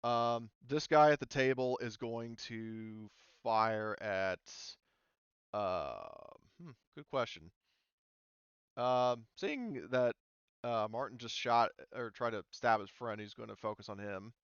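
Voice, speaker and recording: A man's voice: mid-range voice, expressive, slow pace, clear, resonant tone, no echo, muffled recording. A man running a tabletop roleplaying game as game master, casually explaining rules.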